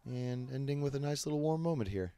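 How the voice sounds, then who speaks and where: A man hosting casual reaction commentary on game scenes he acted in, playful and expressive. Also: medium pitch, a little fast, clear, resonant tone. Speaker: host, game voice actor reacting to own scenes.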